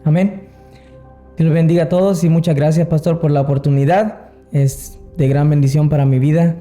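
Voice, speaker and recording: Male guest, Salvadoran accent, very warm dark tone, slightly high pitch, casual personal storytelling. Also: steady tone, moderate pace, faint music behind. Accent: Salvadoran Spanish. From San Salvador, El Salvador. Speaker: interview guest, worship singer.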